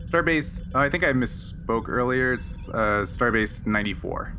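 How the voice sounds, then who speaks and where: A man running a tabletop game as game master, improvising and chatting casually in halting, restarted sentences. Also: medium pitch, lively intonation, background noise.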